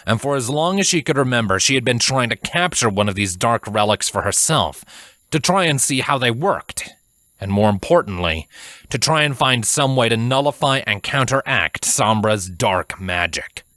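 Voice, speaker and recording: A man reading a fantasy story as a narrator, in a measured, dramatic way. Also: mid-range voice, expressive, bright, forceful tone, no echo, clean studio sound. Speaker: fan fiction narrator.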